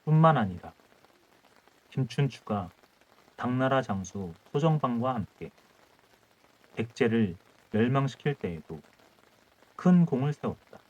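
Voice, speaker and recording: A man narrating a folk tale as a calm audiobook reader, reading in measured short phrases. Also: mid-range voice, lo-fi sound. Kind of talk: calm bedtime storytelling.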